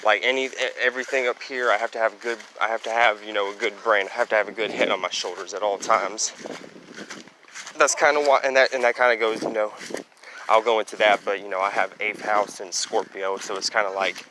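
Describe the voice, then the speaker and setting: A young man with a loud, booming voice talking casually while walking outdoors, rambling along with lots of "you know" and "basically". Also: fairly even intonation, a little fast. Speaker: astrology vlogger. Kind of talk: casual walking vlog monologue. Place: outdoors, walking near trees and traffic. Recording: outdoor street noise, wind and passing traffic.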